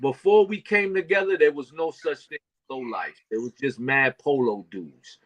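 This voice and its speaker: A man in his fifties from Brooklyn telling stories as a podcast guest, animated and full of street slang. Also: mid-range voice, moderate pace, no echo, muffled recording. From Brooklyn, New York. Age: 50s. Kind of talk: casual storytelling.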